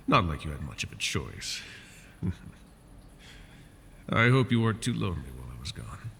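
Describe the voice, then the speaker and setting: A man voicing a wounded male dragon who keeps a human captive, soft-spoken and polite, often slipping into whispers, for an ASMR fantasy roleplay. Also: low voice, sing-song, normal speed, creaky, slight background noise, heavily acted. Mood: doting. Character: wounded male dragon, possessive yet doting captor.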